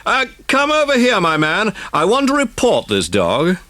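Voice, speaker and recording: A man's voice: sits high, wildly swooping pitch, normal speed, pushed and bright, no room sound, voice pushed up, full character voice. A man voicing an angry, bossy husband who complains in a blustering, demanding way in a children's audiobook. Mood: indignant.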